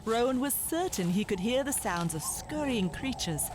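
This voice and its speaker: A woman reading scripted literary narration for a children's audio drama in a measured, dramatic, descriptive way. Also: on the high side, lively intonation, noisy background.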